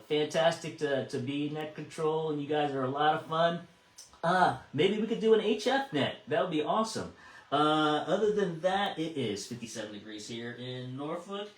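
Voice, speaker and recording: American male net controller, casual and halting, heard distant and roomy over a radio link. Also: on the high side, expressive. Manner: halting, repeats words while thinking. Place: over amateur radio repeater. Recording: echoey, distant radio transmission.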